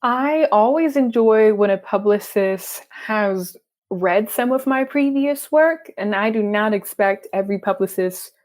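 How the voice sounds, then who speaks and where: A woman's voice: mid-range voice, unhurried, darkish tone. A young adult woman from Texas with a Texan accent, a freelance writer chatting animatedly and laughing often in an interview. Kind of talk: conversational interview.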